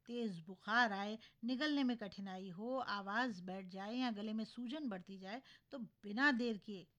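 A woman radio co-host with a sore, scratchy throat, explaining health matters in a relaxed conversational way. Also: medium pitch, measured pace, dull audio. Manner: explanatory, conversational, addresses listeners. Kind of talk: casual radio health chat.

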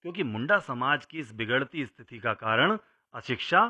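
An actor playing a patient parent who narrates history to a child in a scripted, explanatory storytelling style. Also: male voice, mid-range voice, animated, normal speed, bright, forceful tone, slightly rough, full character voice. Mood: explaining. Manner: explanatory, emphatic. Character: patient elder man telling history to his child. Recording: slightly dull, lo-fi audio.

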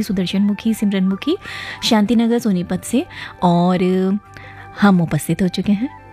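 A hoarse woman hosting a live show, chatting warmly with listeners and reading out their messages and greetings. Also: medium pitch. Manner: friendly, addresses listeners directly, frequent "hai na". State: hoarse from a viral illness. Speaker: host of a message-based request show.

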